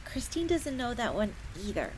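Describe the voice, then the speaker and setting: A woman's voice: fairly high pitch, sing-song, normal speed. A woman voicing a young, earnest, secretive fox maid in a scripted fantasy audio roleplay, confiding in the listener. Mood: explaining, curious. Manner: speaks of herself in third person, confiding. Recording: crackling fire noise behind voice. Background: crackling fire.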